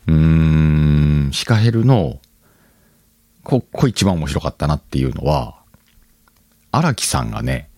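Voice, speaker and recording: A man's voice: very deep voice, sing-song, slow, gravelly, pauses often, dark tone, dry recording, studio quality. A man hosting a solo podcast, chatting casually to his listeners and thinking aloud as he goes. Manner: conversational, thinks aloud, addresses listeners directly. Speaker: podcast host. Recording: background music under the voice.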